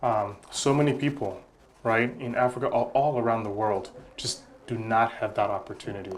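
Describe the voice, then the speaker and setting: A man's voice: medium pitch, steady tone, brisk. Earnest man from Congo, reflective. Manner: earnest, reflective, repeats words while searching. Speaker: guest, nonprofit founder. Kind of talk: interview answers.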